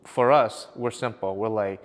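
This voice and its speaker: A man's voice: fairly low pitch, fairly even intonation, normal speed. Man chatting in a reverberant room. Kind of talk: casual conversation.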